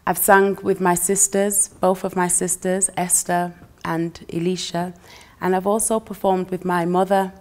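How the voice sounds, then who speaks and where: A woman from England, chatting as a guest musician and laughing easily between phrases. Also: fairly low pitch. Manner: warm, chatty, laughs between phrases, uses "you know" a lot. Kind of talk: relaxed interview chat.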